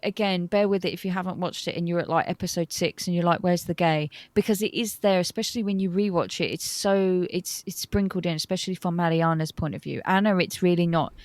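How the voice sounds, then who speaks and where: A woman's voice: lowish voice, steady tone, a little fast, hardly any pauses, darkish tone, no echo. Podcast co-host, woman, from Europe, chatty and rambling, dry close sound. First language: not English. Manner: rambling, self-interrupting, giggly. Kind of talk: casual chat. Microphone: remote call.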